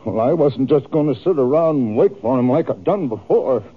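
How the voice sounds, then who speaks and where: An actor playing a wounded, confused, vengeful frontier farmer with husky, rambling, rustic speech in a 1950s radio western. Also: male voice, mid-range voice, expressive, moderate pace. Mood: bitter, vengeful. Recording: muffled, tinny old radio recording.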